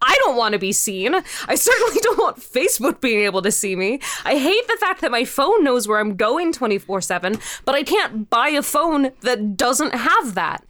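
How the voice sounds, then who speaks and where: Female interview guest, higher register, exasperated and sweary, conversational, very dry studio sound. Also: big pitch swings, normal speed, few pauses, fairly bright tone, no echo. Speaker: podcast interview guest, audio fiction creator. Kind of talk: casual animated interview chat.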